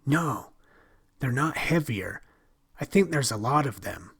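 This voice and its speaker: A man's voice: medium pitch, expressive, slow, a bit bright, husky, full character voice. A man reading a story, voicing a timid, frightened young woman with breathless, whispery speech.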